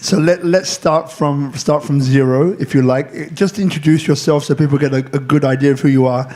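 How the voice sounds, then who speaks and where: A man's voice: a little high, fairly even intonation, moderate pace, a little mellow. A man moderating a panel discussion, thanking guests politely and passing the microphone along. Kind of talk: hosting a panel.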